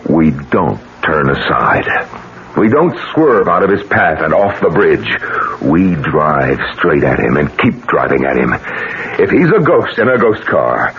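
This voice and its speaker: Deep gravelly, combative American businessman. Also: very animated, a little slow, exaggerated voice. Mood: grimly determined. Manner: emphatic, dramatic, pressing with rhetorical questions. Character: determined, combative self-made businessman, a grieving widower. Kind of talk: 1970s American radio drama acting. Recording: noisy, muffled 1970s radio broadcast recording.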